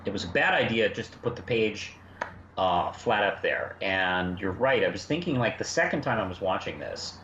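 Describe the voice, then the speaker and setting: A man's voice: lowish voice, expressive, normal speed, a bit bright. A middle-aged man chatting casually as a podcast co-host, rambling through his points with frequent asides. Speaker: podcast co-host reviewing a fan audio drama. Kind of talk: casual review chat. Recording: echoey, muffled, faintly noisy room.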